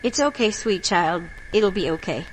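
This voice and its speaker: A woman's voice: mid-range voice, lively intonation, moderate pace, a little hiss or hum, natural voice, low-quality recording. An actress playing a kindly, knowing folk healer woman, motherly and commanding, in an audio horror drama. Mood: soothing.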